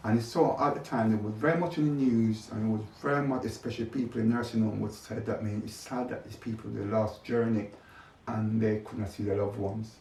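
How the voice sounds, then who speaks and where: A man's voice: fairly low pitch, fairly even intonation, brisk, creaky, hardly any pauses, darkish tone. An older man being interviewed, talking reflectively about his experiences in his own words. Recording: echoey, distant mic, faint noise.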